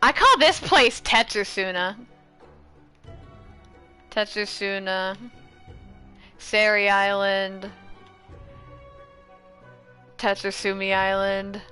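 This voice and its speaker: Bright-voiced woman casually commenting on a game. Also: medium pitch, extremely slow, long pauses. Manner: playful, self-mocking muttering. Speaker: gaming streamer. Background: game music.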